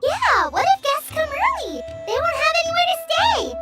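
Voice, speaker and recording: A woman voicing a tiny, cheerful, nosy fairy companion in a video game, with a squeaky childlike voice. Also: huge pitch swings, moderate pace, pushed and bright, soft background music, full character voice. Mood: skeptical.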